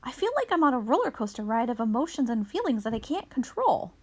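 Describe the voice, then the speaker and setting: A woman voicing an earnest, easily upset young ninja child from a picture book, expressive and questioning. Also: fairly high pitch, quick pace, husky, heavily acted.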